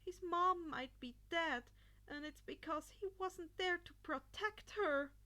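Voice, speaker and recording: A woman voicing an anxious, guilt-ridden teenage boy in a fan fiction audiobook, pleading in halting phrases. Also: very high-pitched, sharp tone, heavily acted. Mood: anguished guilt.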